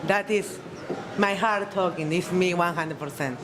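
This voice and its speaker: A woman's voice: lowish voice, measured pace, background noise. A woman speaking as a panel guest, earnest and heartfelt, in slightly non-native English. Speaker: guest, cigar company CEO. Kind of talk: casual panel speech. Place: cigar bar, live event. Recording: loud music in the background.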